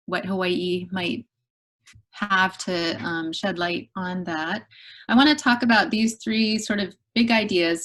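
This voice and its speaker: A middle-aged woman giving an academic webinar lecture over a video call, thoughtful and measured, often pausing on "um". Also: medium pitch. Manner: thoughtful, measured, with frequent ums. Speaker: guest lecturer.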